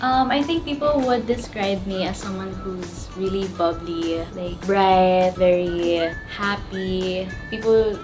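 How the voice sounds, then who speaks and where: Young woman from Texas, lower register, chatty and upbeat; music bed audible. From Texas, United States. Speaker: university student interviewee. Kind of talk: casual interview answers.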